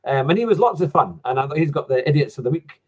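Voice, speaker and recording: A man's voice: on the high side, expressive, fast talker, darkish tone, slightly rough. A man hosting a casual podcast, talking in a rambling way with lots of fillers. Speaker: podcast host. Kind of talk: casual chat.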